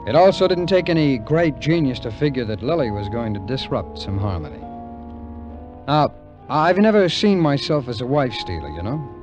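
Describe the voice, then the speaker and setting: A male actor plays a wry, wary American drifter in a 1950s radio drama. He speaks hesitantly and colloquially, with lively swings of pitch. The old recording is dull, with music clearly behind him. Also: normal speed, lightly acted. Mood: wry, rueful. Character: wry, wary drifter war veteran, man telling his tale. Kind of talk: 1950s radio drama acting and first-person narration.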